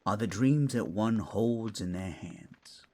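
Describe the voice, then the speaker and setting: A man playing a gruff, bookish older lord in a fantasy audio drama, wry, loyal and theatrical. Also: lowish voice, slow pace, mellow. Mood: wry, musing.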